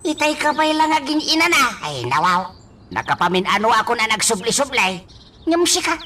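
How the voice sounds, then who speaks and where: A man's voice: very high-pitched, very animated, moderate pace, sharp tone, exaggerated voice. A man playing a weary, complaining elderly father in an Ilocano radio drama, grumbling with plaintive exclamations. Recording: music behind the voice.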